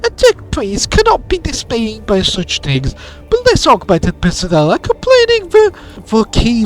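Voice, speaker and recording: A man putting on a shrill, raspy zealot priest. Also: full character voice. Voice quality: raspy from a cold.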